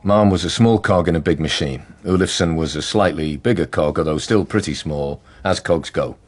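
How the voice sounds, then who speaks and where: A man's voice: very deep voice, normal speed. A man playing a harried, rambling police detective in a radio drama, droll and easily flustered. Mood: dry, matter-of-fact.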